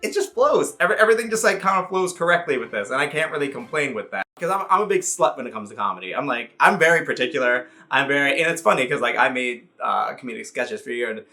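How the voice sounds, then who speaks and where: Animated, bright-voiced man, excitable and sweary. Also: mid-range voice, quick pace, few pauses, soft background music. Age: 30s. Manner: excitable, rambling, profane, jokey asides. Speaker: YouTube movie reviewer. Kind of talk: casual comedic review to camera.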